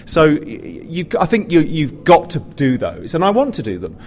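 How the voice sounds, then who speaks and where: A man's voice: fairly high pitch, wildly swooping pitch, moderate pace, darkish tone. A British man speaking informally on a panel, in a conversational, stop-start way with repeated words. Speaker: panelist, pantomime writer and director. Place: live panel in a theatre. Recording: faint background noise, live event.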